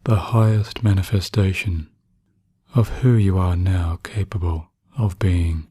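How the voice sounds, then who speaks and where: Slow, low-voiced man guiding sleep hypnosis. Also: steady tone, husky, no echo, studio quality. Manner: soothing, hushed, with long pauses. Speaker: hypnotherapist narrator. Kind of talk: guided sleep hypnosis narration.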